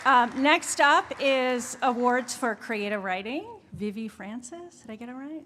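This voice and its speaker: A woman's voice: fairly high pitch, measured pace, fairly bright tone, a faint crowd. A woman announcing as host of a live awards ceremony. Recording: audience murmur, faint noise, live event.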